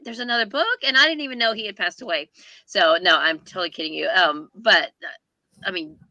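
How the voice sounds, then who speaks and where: Bright-voiced woman hosting a chat. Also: medium pitch, brisk, no room sound, highs cut off. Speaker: host of a book chat livestream. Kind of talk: casual chat, reading viewer comments. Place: indoors on a group video call.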